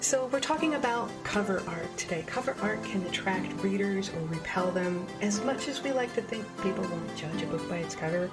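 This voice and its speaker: A woman's voice: mid-range voice, lively intonation, normal speed, few pauses. A woman speaking as a panel host, giving an introduction. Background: loud guitar music.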